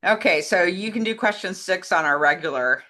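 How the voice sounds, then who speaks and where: A woman's voice: lowish voice, expressive, normal speed, pushed and bright. An American woman chatting casually as co-host and reading out questions, with an enthusiastic manner.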